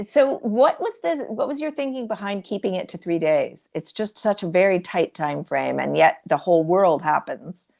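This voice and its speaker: A woman's voice: fairly low pitch, expressive, normal speed, vocal fry, few pauses, a little mellow, lo-fi sound. A woman moderating a conversational interview and putting questions to a guest.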